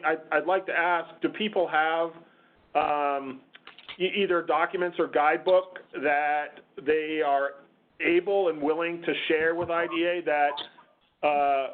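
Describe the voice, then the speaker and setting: A man moderating an unscripted meeting discussion on a conference call, speaking in a halting, hesitant way. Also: on the high side. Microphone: conference call line. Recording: muffled, phone-like conference call.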